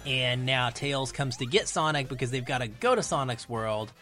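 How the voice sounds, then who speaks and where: Guy guest on a podcast, mid pitch, casual chat, thinking out loud, faint music under him. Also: moderate pace. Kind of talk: casual film discussion.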